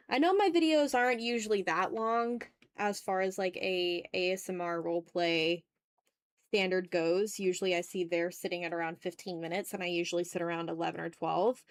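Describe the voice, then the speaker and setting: A woman's voice: mid-range voice, normal speed. A woman talking informally to viewers as she draws on a livestream, often breaking off to greet people in the chat. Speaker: host of an art livestream, video creator. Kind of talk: casual livestream chat with viewers while drawing. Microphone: streaming mic, newly placed a little far away.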